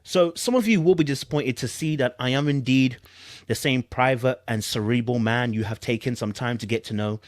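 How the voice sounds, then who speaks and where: A man's voice: mid-range voice, a little fast, no room sound. London man, casual commentary, dry close sound. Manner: informal, slangy, repeats himself for emphasis. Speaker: YouTube commentary host. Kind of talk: casual reaction commentary with reading aloud.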